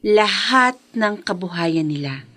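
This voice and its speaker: A woman's voice: mid-range voice, animated, takes it slowly, pushed and bright, soft background music, heavily acted. An actress in a Philippine radio drama playing a self-assured, vengeful rich young woman who slips English phrases into her Tagalog. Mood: smug, bitter.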